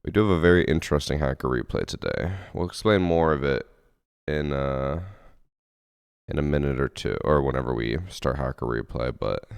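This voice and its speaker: A man with a remarkably low, gravelly voice chats through a home game stream at a desk mic. His tone is smooth and resonant but sniffly and congested from a late night. He rambles easily and pokes fun at himself. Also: moderate pace, no echo, clean studio sound. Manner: laid-back, rambling, self-mocking. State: sniffly, congested from lack of sleep. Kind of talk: casual live-stream chat, reading chat aloud.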